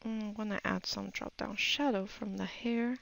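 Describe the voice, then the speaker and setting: Woman doing a laid back painting tutorial, slow n creaky, thinking out loud. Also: medium pitch, fairly even intonation. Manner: unhurried, musing aloud while working. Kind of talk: casual art tutorial narration.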